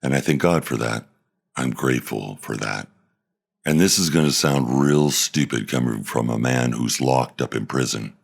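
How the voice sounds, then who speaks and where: A man voicing a remorseful middle-aged former pilot in prison, confessing in a quiet, halting way with a gravelly voice. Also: extremely low pitch, pitched below the performer's own voice, full character voice. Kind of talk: dramatic monologue read.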